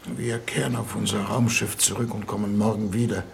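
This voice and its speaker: An actor playing a solemn, pompous statesman on a diplomatic mission in a 1950s radio play, speaking formally and haltingly. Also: fairly low pitch, steady tone, vocal fry. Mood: stern, urgent. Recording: noisy 1950s radio recording.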